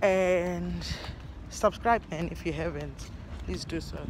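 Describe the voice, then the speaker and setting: A woman's voice: on the low side, takes it slowly. A young South African woman chatting casually to her phone camera outdoors, rambling and correcting herself as she talks. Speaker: vlogger. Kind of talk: casual vlog chat to camera, mixing English and Zulu. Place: outdoors in a parking lot. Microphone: phone camera at arm's length.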